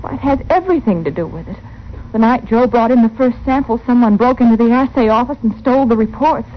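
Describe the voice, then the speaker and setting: An actress playing an earnest, anxious frontier wife in an old radio western. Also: female voice, fairly high pitch, quick pace, close to an everyday voice. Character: worried, earnest frontier wife pleading for help. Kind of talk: 1950s radio western acting. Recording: muffled, hissy old radio recording.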